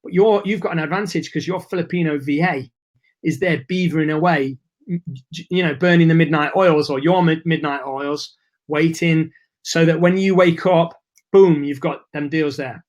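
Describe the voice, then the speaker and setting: Male webinar host, casual and chatty, voice going hoarse, slightly high-pitched. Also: moderate pace. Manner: chatty, informal, reads and answers chat messages. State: losing his voice. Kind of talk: live webinar presentation.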